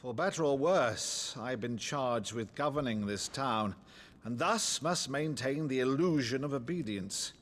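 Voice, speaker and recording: Theatrical, unhurried old nobleman. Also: mid-range voice, expressive. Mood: grave, weary. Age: older. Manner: theatrical, grandiloquent. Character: blustering, warm-hearted old nobleman uncle, theatrical and grand. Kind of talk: dramatic video game acting.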